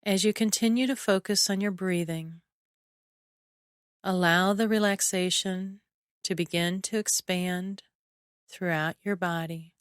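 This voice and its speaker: A woman narrating a scripted guided relaxation in a soothing, measured way, with short phrases and long pauses. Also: lowish voice, fairly even intonation, no room sound, clean studio sound. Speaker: guided imagery meditation narrator.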